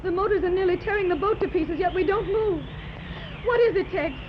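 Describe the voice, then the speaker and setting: A woman's voice: very high voice, a little fast. An actress playing an anxious, earnest wealthy woman searching for her daughter in a 1930s radio drama. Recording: muffled old radio transcription with loud hiss.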